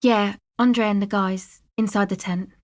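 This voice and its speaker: A woman's voice: mid-range voice, steady tone, measured pace, close to an everyday voice. Actress as an offhand, streetwise young woman. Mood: casual, guarded. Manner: casual, slangy, offhand and dry. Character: young streetwise woman, guarded, laid-back slacker amateur sound recordist. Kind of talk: video game character dialogue, conversational.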